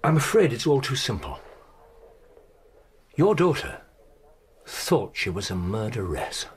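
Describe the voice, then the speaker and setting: An actor playing an incisive, commanding British detective in a radio drama, with precise, theatrical delivery and dramatic pauses. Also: male voice, medium pitch, sing-song, a little hiss or hum. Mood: grave. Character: incisive, commanding male detective laying out a case. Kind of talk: BBC radio drama acting.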